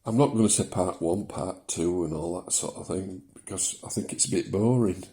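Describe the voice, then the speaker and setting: A man in his seventies from South Yorkshire with a softened Yorkshire accent, talking casually and hesitantly into his phone in his living room. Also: low-pitched, normal speed. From South Yorkshire, England. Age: 70s. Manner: rambling, hesitant, with many ums and small laughs. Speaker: vlogger. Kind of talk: casual personal vlog. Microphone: mobile phone.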